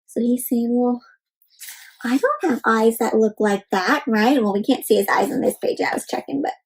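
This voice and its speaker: High-voiced woman reading to young children. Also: expressive, moderate pace, slightly rough, low-quality recording. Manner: asks the listeners frequent questions; addresses listeners as friends. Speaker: children's read-aloud host. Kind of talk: interactive storybook read-aloud for young children.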